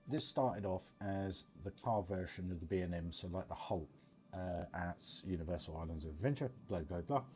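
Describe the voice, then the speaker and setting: Deep, mellow man streaming casually. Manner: chatty, addressing viewers directly. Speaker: livestream host. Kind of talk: casual game stream commentary.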